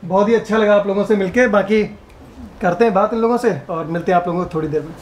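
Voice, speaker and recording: High-voiced man vlogging in a noisy room. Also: fast talker. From Uttar Pradesh, India. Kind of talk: casual vlog chat. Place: hotel room. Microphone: camera held at arm's length. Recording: some background room noise.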